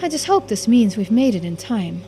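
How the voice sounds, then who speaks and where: Woman voicing a dryly commanding senior investigator, slightly raised pitch. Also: sing-song, moderate pace, dark tone, faint music behind. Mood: dry, composed. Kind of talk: voiced visual-novel dialogue.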